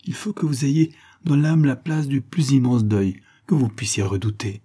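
A storybook old nursemaid, acted by a man, kindly and sorrowful. The voice is soft, mellow and husky. The delivery is slow, calm and solemn, full of motherly pity. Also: medium pitch, voice pushed up. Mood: grave, sorrowful.

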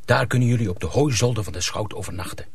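An actor playing a practical mill lad in a radio drama, with a gravelly, husky voice. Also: male voice, medium pitch, fast talker, no echo. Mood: urgent, practical.

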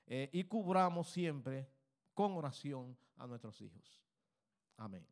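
A man's voice: medium pitch, lively intonation, takes it slowly. A man speaking on a church panel who urges prayer for children and closes his turn with an amen.

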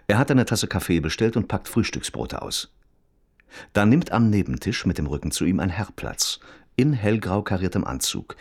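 Radio drama narrator, low-pitched, detached and observant, precise, dry wording, varied intonation. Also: male voice, husky, full character voice.

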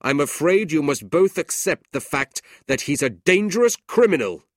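A man's voice: a little high, very animated, moderate pace, dry recording, studio quality, voice pushed up. A man doing a stern, scornful old uncle. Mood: cold. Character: cold, scheming older man, a harsh uncle.

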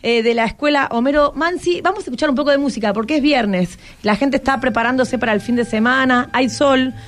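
A woman's voice: sits high, a little fast. A woman with a Buenos Aires accent chatting casually as a radio host in a studio. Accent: Argentine, Buenos Aires.

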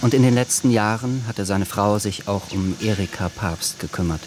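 An actor serving as a sober, observant narrator, reading literary scene descriptions in a radio drama. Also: male voice, fairly low pitch, moderate pace, noisy background, natural voice.

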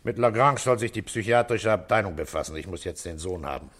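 A man's voice: low-pitched, expressive, a little fast, a bit bright. An actor playing a stern male police inspector in a German radio drama, speaking with firm, controlled authority. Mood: sober, decisive.

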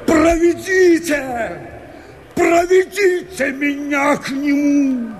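A frenzied, high-pitched rebel convict. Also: male voice. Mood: impassioned, pleading. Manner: impassioned, drawn-out theatrical declamation. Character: frenzied escaped convict rebel, desperate and wildly determined. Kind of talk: declaimed verse radio drama. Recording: studio radio play with loud music.

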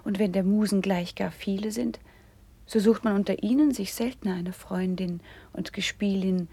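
An actress playing a gentle, thoughtful noble princess, speaking formal verse in a measured, soft-edged way in a classical radio drama. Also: female voice, medium pitch, slightly rough. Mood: pensive, composed. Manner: measured, formal, poetic declamation.

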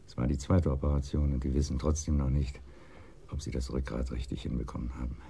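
An actor playing a timid, bitter betrayed husband in a radio crime drama, speaking haltingly and heavily, with hushed trailing phrases. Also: male voice, a bass voice, flat delivery, vocal fry, slight background noise. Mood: bitter, broken. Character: broken, bitter cuckolded husband, timid and brooding.

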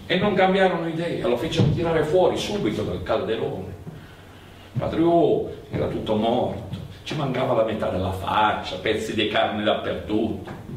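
A man narrating a theatrical monologue in emphatic, measured phrases. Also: on the high side, a bit bright. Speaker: stage actor and storyteller. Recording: very echoey, distant, faint noise.

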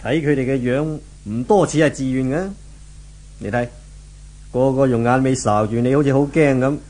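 A man's voice: medium pitch, normal speed, a little mellow, natural voice. A man acting as a firm, methodical police inspector in a 1970s radio drama, with a Hong Kong Cantonese accent. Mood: stern, probing. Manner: firm, commanding, probing. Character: authoritative, methodical male police inspector leading raids.

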